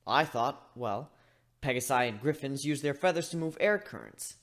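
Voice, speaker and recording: A chatty male griffin voiced by a man tells his own fantasy story. His delivery is wry and conversational, wandering off into asides, and his pitch moves around in an animated way. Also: moderate pace. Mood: musing, rambling. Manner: conversational, rambling, self-interrupting. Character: chatty male griffin, wry, rambling first-person narrator. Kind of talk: dramatic reading of fan fiction, storytelling.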